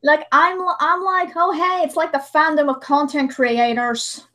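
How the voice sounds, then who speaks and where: A woman with a high-pitched voice hosts a casual livestream. She talks in a conversational way, often stopping and restarting a phrase before she gets to the end of it. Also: fairly even intonation, a little slow, sharp tone. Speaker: livestream host.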